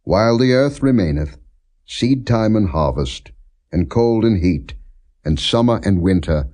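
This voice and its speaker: Male scripture narrator, low mellow voice, measured and solemn, reading without character acting. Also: expressive. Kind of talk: non-dramatized scripture reading.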